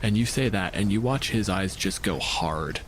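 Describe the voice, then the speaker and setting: A man narrating as game master of a tabletop game in an animated, casual way, ready to swear. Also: fairly low pitch, normal speed, slight background noise.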